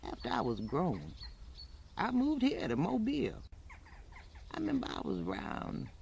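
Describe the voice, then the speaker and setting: An actor playing an elderly woman from Alabama with a Southern US accent, telling her life story in a folksy, halting, plainspoken way as in an old-time radio drama. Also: a bass voice, creaky, darkish tone, slight background noise, heavily acted. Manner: halting, folksy, colloquial, musing.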